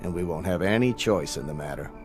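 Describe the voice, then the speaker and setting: An actor playing a confident, reflective astronaut in a radio drama, musing in an assured way. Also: male voice, deep voice, lively intonation, fast, over music. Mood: wistful, musing. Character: confident, reflective male astronaut on eve of launch.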